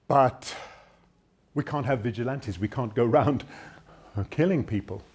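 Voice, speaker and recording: A torn prosecutor dad doing a stage monologue, intense, voice swings all over, slow and deliberate. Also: male voice, medium pitch, breathy edge, exaggerated voice. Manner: intense, deliberate, with dramatic swells and drops. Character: troubled older male public prosecutor, dutiful, torn, defensive father. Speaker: actor in a dramatic monologue. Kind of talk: theatrical dramatic monologue.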